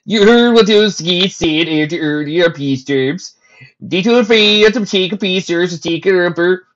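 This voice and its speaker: Woman playing a loud, boastful stallion soldier, raised pitch. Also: measured pace, lightly acted. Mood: casual. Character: boastful, easygoing stallion soldier in a fantasy army. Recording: dull lo-fi recording.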